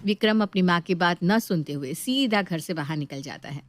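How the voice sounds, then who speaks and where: A woman's voice: lowish voice, expressive, normal speed. A woman reading scripted narration as the storyteller of a domestic tale.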